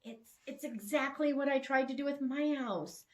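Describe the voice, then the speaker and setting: A woman's voice: high-pitched, moderate pace, voice pushed up, natural voice. A woman voicing a sassy, eager young woman in a romance story, direct and playful.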